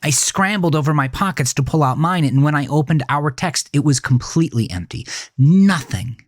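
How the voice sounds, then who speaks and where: An actor playing a haunted, dazed teenage boy, narrating a horror audio drama as a brooding, halting confession. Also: on the high side, animated, no echo, clean studio sound. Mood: anxious, unsettled.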